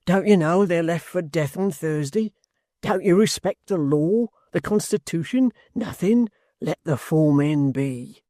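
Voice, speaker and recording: Man doing a sly hangman, slow wheedling drawl, mellow tone, dry sound. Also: no room sound, natural voice. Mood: indignant. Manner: slow, coaxing, low-voiced. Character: sly menacing male hangman, wheedling and scowling.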